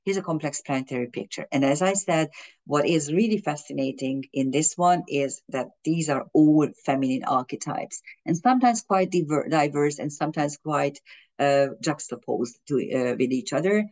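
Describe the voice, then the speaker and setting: A woman's voice: sits low, moderate pace, a little mellow. Fumbling woman astrologer. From Hungary. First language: Hungarian and German. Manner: fumbling, with frequent restarts and fillers. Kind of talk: explaining an astrology chart in a video.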